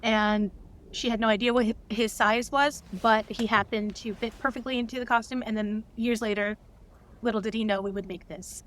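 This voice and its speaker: A woman's voice: on the high side, fairly even intonation, moderate pace, a bit bright, a little hiss or hum. A woman talking casually as an interview guest, explaining things in an earnest manner. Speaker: interview guest, director and writer.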